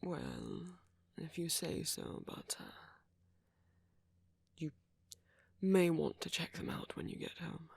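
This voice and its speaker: A man acting a friendly, flirty, apologetic vampire in a soft ASMR roleplay, gentle and hesitant, with a husky voice that often drops toward a whisper. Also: a little high, huge pitch swings, slow, heavily acted. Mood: solicitous, sheepish. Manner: gentle, hesitant, teasing, with soft chuckles and endearments.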